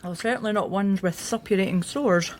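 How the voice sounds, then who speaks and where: Woman acting a sharp young female police detective, mid-pitched, wry, probing, radio drama, noisy ambience. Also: a little fast, natural voice. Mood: teasing, probing. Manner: probing, dryly teasing. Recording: clear background ambience noise.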